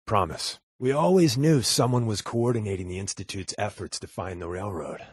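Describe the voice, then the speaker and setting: A man playing a wry, sarcastic spy companion in a video game, with a deadpan, flippant delivery. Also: on the low side, normal speed, husky.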